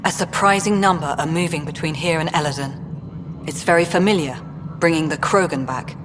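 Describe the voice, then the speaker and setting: An actress playing a stern, pragmatic colonial director in a video game, speaking in a clipped, dry, businesslike way. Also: female voice, low-pitched, a little fast, natural voice. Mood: dry, guarded. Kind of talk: video game dialogue acting. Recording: hall reverb with clear background noise.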